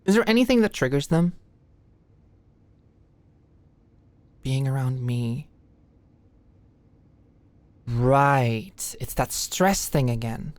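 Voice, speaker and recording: A woman playing an anxious, sweet and awkward young female medical student in a soft, intimate ASMR roleplay, with a hesitant manner. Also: rumbling low, animated, slow, pauses often, dry recording, full character voice. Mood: gently clinical. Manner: nervous, hesitant, stammering.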